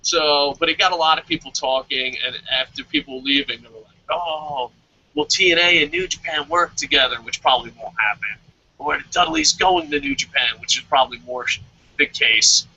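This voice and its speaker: A man hosting a casual wrestling review chat, excitable, stammering at times and bursting into loud animated quotes. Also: on the high side, moderate pace, a little hiss or hum. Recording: dull lo-fi audio.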